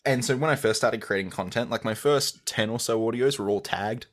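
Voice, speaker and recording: An Australian man answering interview questions in a measured, thoughtful way. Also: mid-range voice. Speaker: guest voice actor.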